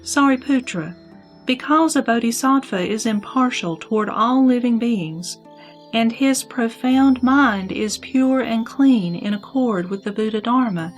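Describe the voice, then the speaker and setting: A serene, assured god, played by a woman, speaks slowly in a fairly high voice. The teaching is formal and deliberate, in a sutra audio drama with soft music behind. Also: lightly acted. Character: Brahma, serene, assured male god instructing a disciple.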